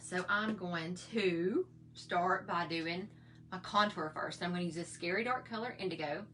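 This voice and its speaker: A middle-aged woman with a slightly hoarse voice, chatting in a folksy, rambling way while she shows her makeup routine. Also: on the low side, moderate pace, fairly bright tone, a little hiss or hum. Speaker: makeup vlogger. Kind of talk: casual makeup tutorial chat.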